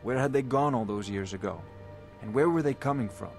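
An actor playing a haughty, bookish court wizard in a fantasy video game, speaking in a precise, pompous, musing way. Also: male voice, medium pitch, brisk, darkish tone, soft background music, close to an everyday voice. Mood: condescending, musing. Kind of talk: video game character dialogue.

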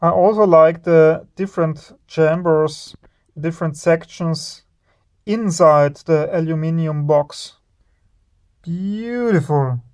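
A man hosting an electronics teardown video, slowly and admiringly commenting on the parts he finds. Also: a little high, a little mellow. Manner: slow, admiring, savoring each part.

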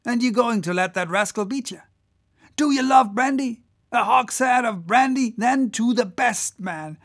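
Audiobook reader doing a loud salty whaling mate, jokey, badgering the crew, pitched up and creaky. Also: slow pace, no echo, studio quality, heavily acted. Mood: rousing, goading. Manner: exclamatory, cajoling, salty sailor talk. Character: boisterous, joking, haranguing whaleboat second mate. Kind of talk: animated audiobook character voice.

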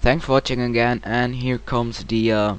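A man narrating a casual software tutorial in hesitant, informal, non-native-sounding English. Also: medium pitch, normal speed. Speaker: tutorial presenter. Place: home computer screen-recording voice-over. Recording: clear steady background noise.

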